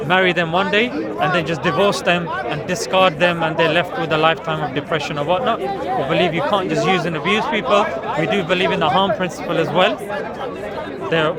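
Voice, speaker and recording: Man, street debater, earnest and patient, slightly high voice, loud crowd around him outdoors. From England. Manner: earnest, patient, explaining step by step. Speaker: street preacher debating visitors. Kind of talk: explanatory debate talk. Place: busy outdoor public park.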